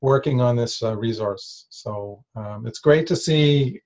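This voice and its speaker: A man's voice: medium pitch, a little mellow. Middle-aged man on dull lo-fi call audio. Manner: halting, restarts often. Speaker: course participant. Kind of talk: self-introduction on a video call.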